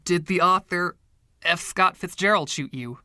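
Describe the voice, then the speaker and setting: Man as slow-talking, exasperated pianist Andre. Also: high voice, pushed and bright, dry recording, studio quality, pitched above the performer's own voice, exaggerated voice. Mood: incredulous, weary. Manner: incredulous, questioning. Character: exasperated, doubting male pianist narrator named Andre.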